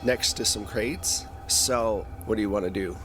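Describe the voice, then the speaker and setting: A man's voice: fairly low pitch, measured pace, a bit bright, a little hiss or hum. A man narrating a sci-fi tabletop game as game master, describing scenes and asking the players what they do. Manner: descriptive, prompting players with questions.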